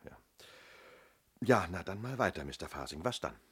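An actor in a German radio play playing a methodical, sceptical middle-aged police inspector who questions in a clipped, probing way. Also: male voice, sits low, sing-song, normal speed, breathy edge, exaggerated voice.

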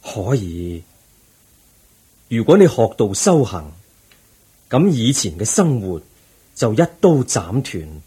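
An actor playing a calm, compassionate Buddha in a Cantonese radio drama, patient and solemn, with a Hong Kong accent. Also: male voice, on the low side, slow pace, pauses often, breathy edge, exaggerated voice. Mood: patient, reassuring.

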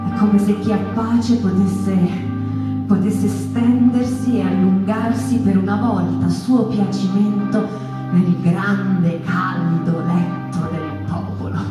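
An actress playing a wistful, poetic narrator in a literary stage monologue, measured and deliberate with pauses. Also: female voice, medium pitch, fairly even intonation, lightly acted. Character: wistful, poetic female narrator of the mouse folk. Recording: very echoey theatre with music underneath.

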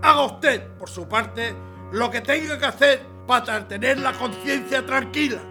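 A man's voice: very high voice, unhurried, pushed and bright, faint music behind, heavily acted. A man playing an arrogant, contemptuous army general in an audio drama, sneering, mocking and commanding.

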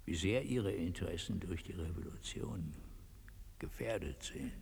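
An actor playing an imperious, coldly courteous Latin American dictator in a radio play, husky, gravelly and formally menacing. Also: male voice, deep voice, lively intonation, measured pace, a little mellow, heavily acted. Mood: grave, calculating. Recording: echoey hall with long reverb.

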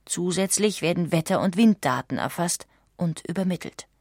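Low-voiced female German documentary narrator. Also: expressive, moderate pace, vocal fry, a little mellow, dry recording, clean studio sound. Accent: standard German. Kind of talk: scripted documentary audiobook narration.

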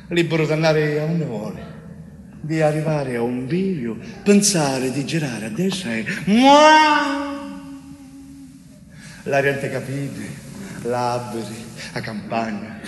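A man's voice: on the high side, slight background noise. An actor playing an exuberant, carefree young Sicilian bricklayer in a theatre monologue, exclaiming and repeating his words in dialect. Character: Giuseppe "Pino", young Sicilian bricklayer, exuberant, carefree eighteen-year-old. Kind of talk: solo theatre monologue, dramatic storytelling in dialect. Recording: echoey hall, long reverb, music behind.

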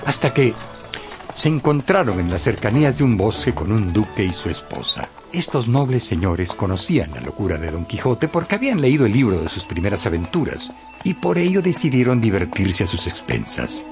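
A man tells a scripted radio story with theatrical suspense. His voice is fairly low and creaky and moves widely in pitch. Music runs clearly underneath. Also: very animated, moderate pace. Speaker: narrator.

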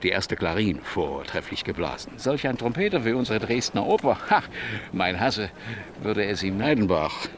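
An actor playing an effusive, self-absorbed baroque monarch in a German radio drama, pompous and condescending in florid phrases. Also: male voice, fairly low pitch, sing-song, moderate pace, hardly any pauses, slight background noise. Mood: gushing, dismissive. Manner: pompous, gushing, florid, talks over others.

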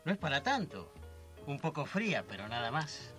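Lively, wary young Argentine lab technician. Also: male voice, fairly high pitch, moderate pace, faint music behind. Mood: sly bargaining. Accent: Argentine, Rioplatense. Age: young adult. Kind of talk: radio drama acting.

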